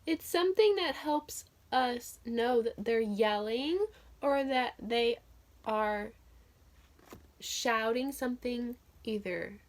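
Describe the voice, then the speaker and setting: Woman, children's read-aloud teacher, high voice, slow unhurried pace, expressive, question-led. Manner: animated, expressive storytelling; simple, encouraging questions addressed to children.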